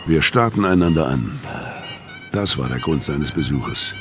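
Deep low male voice, bitter battered ex-hitman, terse grim crime radio drama narration, prominent music behind. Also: expressive, measured pace. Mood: resigned.

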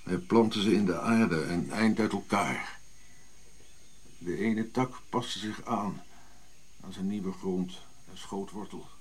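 A man's voice: deep voice, slow, long pauses, a little hiss or hum. An older man playing a bitter, imperious African prince on Java in a radio drama, speaking formally with caustic haughtiness. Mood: solemn storytelling.

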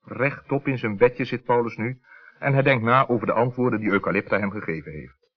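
A man narrating a scripted children's story as an expressive storyteller. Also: medium pitch, fairly quick. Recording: dull 1960s radio recording.

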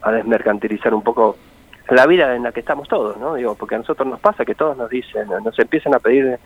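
A man's voice: mid-range voice, fast, husky. A man with a Buenos Aires Argentine accent explaining things informally and reflectively in a radio phone interview, often seeking agreement. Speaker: interviewee, training centre director. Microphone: phone call. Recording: thin, narrow phone-line sound.

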